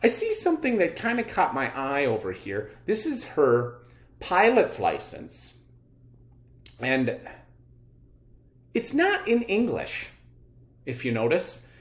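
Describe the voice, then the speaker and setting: Echoey male teacher reading aloud, animated pitch. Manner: instructive, pauses to wonder aloud and question listeners. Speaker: elementary school teacher leading a reading lesson. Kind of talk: read-aloud lesson with think-aloud commentary. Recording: roomy, echoing sound.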